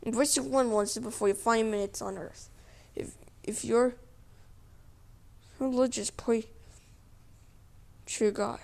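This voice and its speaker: A woman delivering a mock emergency broadcast announcement in a halting way, repeating words. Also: fairly high pitch, fairly even intonation, gravelly, darkish tone. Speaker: narrator of a mock emergency alert.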